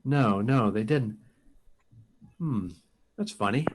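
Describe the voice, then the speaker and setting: An actor playing a desperate, insistent rocket pilot in an old-time radio science fiction drama, speaking in halting, urgent bursts. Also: male voice, mid-range voice, animated, slight background noise.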